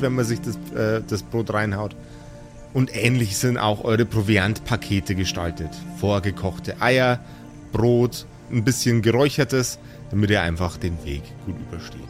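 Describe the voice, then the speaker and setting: A man's voice: on the low side, moderate pace. A man narrating a fantasy tabletop game as game master. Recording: background music under the voice.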